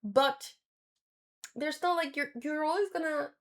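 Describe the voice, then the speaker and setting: A woman with a slightly high voice chats as a streamer sharing her reactions. Her delivery is informal and unscripted, often hesitating and rephrasing mid-thought. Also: a little slow, fairly bright tone. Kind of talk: casual livestream commentary.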